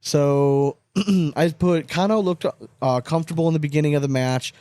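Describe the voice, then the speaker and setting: A man's voice: fairly high pitch, moderate pace, clear, resonant tone, no room sound. A man co-hosting a podcast, chatting casually about sports with strong opinions and frequent swearing. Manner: animated, opinionated, swears often. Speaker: podcast co-host.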